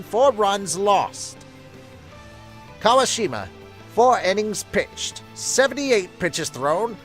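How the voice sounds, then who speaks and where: Guy doing a sports announcer rattling off stats, raised pitch, clipped and emphatic, manga dub with faint music. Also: full character voice. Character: formal sports game announcer reading out statistics. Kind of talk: announcer-style scripted recitation.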